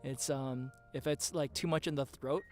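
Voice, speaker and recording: A man's voice: medium pitch, steady tone, normal speed, darkish tone, soft background music. A man co-hosting a livestream singing lesson. He talks casually, passes on viewer questions and shares his own tips. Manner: casual, reads out viewer questions, eager reactions. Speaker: co-host.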